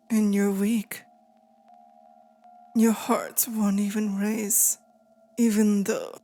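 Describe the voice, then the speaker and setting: A woman's voice: medium pitch, mellow. A woman playing a possessive, taunting female demon in an intimate ASMR roleplay, speaking to the listener in a teasing, menacing way.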